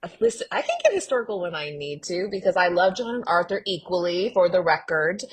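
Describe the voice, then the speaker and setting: A woman co-hosting a podcast in casual, playful chat, talking in an animated, rambling way. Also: fairly low pitch, brisk, a little hiss or hum. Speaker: podcast co-host.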